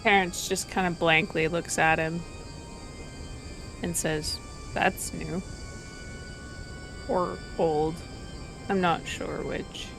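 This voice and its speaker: A woman with a flat, dry delivery, casually roleplaying and describing her character in a tabletop game. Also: fairly low pitch, takes it slowly, gravelly, pauses often, music in the background, rough recording.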